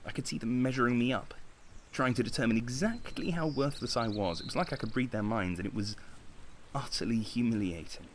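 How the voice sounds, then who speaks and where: An actor playing a bitter, insecure young man in a naturalistic audio drama, speaking intensely with dramatic pauses. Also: mid-range voice, slight background noise, close to an everyday voice. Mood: wounded, self-pitying. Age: young adult. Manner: intense, agitated, self-pitying, with dramatic pauses. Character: young man, Konstantin, bitter, insecure, impassioned would-be artist.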